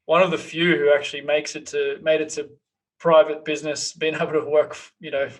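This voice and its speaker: A man hosting a casual interview, relaxed, often restarting his questions. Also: fairly high pitch, fairly quick.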